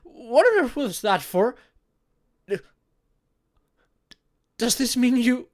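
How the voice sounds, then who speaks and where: A man's voice: very high voice, very animated, unhurried, dry recording, clean studio sound, full character voice. A man playing a shy, flustered young social outcast in a romantic audio roleplay, speaking hesitantly with stammers and sighs. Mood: startled, disbelieving.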